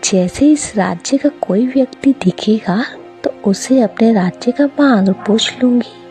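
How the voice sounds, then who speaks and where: A vain young cartoon princess, played by a woman with a mellow, fairly high voice. She speaks in a self-important, dramatic way, taking her time over each phrase, with faint music behind. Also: unhurried, lightly acted. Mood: puzzled, proud. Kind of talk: cartoon storybook character voice.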